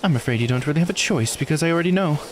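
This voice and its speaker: Man voicing a shrewd, lively-pitched investigator. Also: racing, natural voice. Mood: confident, insistent. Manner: probing, insistent, composed. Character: shrewd, self-assured investigator questioning a secretive immortal. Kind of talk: visual novel character read-aloud.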